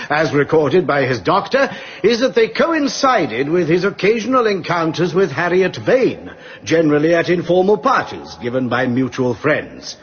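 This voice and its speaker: An actor playing a stern, pompous judge in a radio drama, addressing a jury with a husky voice and deliberate delivery. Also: male voice, fairly high pitch, muffled recording. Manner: deliberate, magisterial, moralising. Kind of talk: British radio drama acting.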